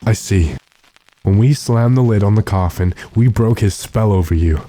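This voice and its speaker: A man performs a resolute gentleman vampire hunter from an old radio horror play. His voice is very low and mellow, with a deliberate, authoritative delivery that takes command of everyone around him. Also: no room sound, lightly acted. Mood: calm, probing. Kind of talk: classic radio horror drama acting.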